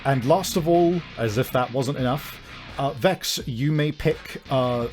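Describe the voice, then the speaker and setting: A man runs a horror tabletop game as game master. He improvises vivid narration with hesitant pauses in a medium-pitched voice, and faint guitar music plays behind him. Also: a little mellow.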